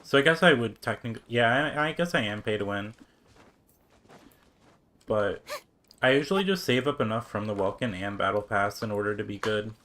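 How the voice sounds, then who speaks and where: A man's voice: on the low side, moderate pace, long pauses, a bit bright. A man streaming a game and chatting casually, thinking aloud in a laid-back manner. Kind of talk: casual livestream chat. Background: game music.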